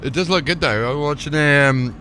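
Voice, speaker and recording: A man's voice: a little high, fairly quick. A man chatting casually and informally to viewers as a live game streamer. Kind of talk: casual gaming livestream chat. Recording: music playing behind the voice.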